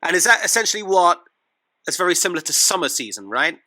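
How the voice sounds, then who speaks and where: A male interviewer with a bright voice and animated intonation. He sits a little higher in pitch than most men. He puts questions casually and tentatively, listening with curiosity. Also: fast talker. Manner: curious, attentive, tentative phrasing. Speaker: interviewer, host.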